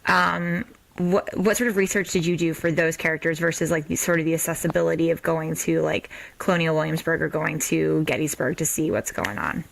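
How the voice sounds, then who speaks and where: Female podcast host. Slightly low voice with plenty of fry, chatty and informal. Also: brisk, slight background noise, rough recording. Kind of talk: casual conversational interview.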